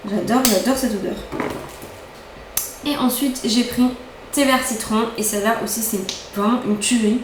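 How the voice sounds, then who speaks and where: Young woman, bright-pitched, gushing haul chatter, roomy home recording with a faint hum. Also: fairly even intonation, normal speed, long pauses. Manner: chatty, enthusiastic, repeats words for emphasis. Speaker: beauty vlogger. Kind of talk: casual haul chat. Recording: echoey room, faint ambience.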